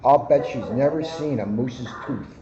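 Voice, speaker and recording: A man reading a children's picture book aloud in a deliberate, expressive storyteller manner, with slightly muffled sound. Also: mid-range voice, crowd noise. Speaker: guest story reader, police officer.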